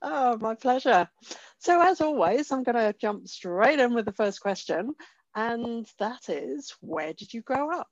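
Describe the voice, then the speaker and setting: A woman's voice: fairly high pitch, very animated, a little slow, dry recording, highs cut off. A woman hosting an interview podcast, introducing and questioning her guest. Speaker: podcast host.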